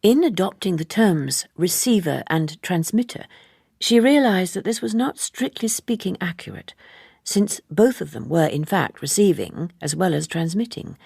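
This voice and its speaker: A woman reading a literary novel as scripted narration, in a measured and precise manner. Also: lowish voice, sing-song, vocal fry, a little mellow, slightly rough, dry recording, studio quality. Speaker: audiobook narrator.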